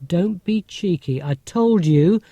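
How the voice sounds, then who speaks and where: A man's voice: high-pitched, expressive, slow pace, gravelly, mellow, no echo, heavily acted. A man voicing a nosy, teasing, disapproving mother in a children's storybook reading. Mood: scolding.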